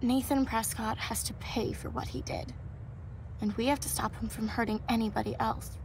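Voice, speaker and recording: A woman acting a gentle, devout young student in a video game, fragile but hopeful, earnest and sincere. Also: on the high side, steady tone, normal speed, slight background noise, natural voice, poor audio. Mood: determined. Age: young adult. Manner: soft-hearted, earnest, sincere.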